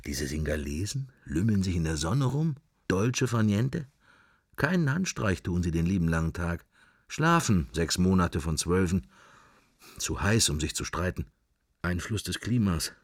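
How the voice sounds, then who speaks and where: A daydreaming man lost in interior monologue in a radio play. The voice is deep and husky, recorded close and dry, drifting from one fragment of thought to the next. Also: animated, unhurried, dry recording, full character voice. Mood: dreamy, languid. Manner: fragmentary, drifting, thinking to himself. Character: musing man in Dublin, idly drifting daydreamer.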